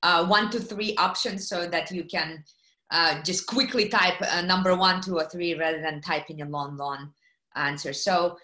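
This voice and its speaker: A middle-aged woman from Kazakhstan, giving a lively webinar talk on a video call, with many fillers and questions to her audience. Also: lowish voice, normal speed, roomy sound. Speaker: guest speaker. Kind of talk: webinar presentation. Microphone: computer mic on video call.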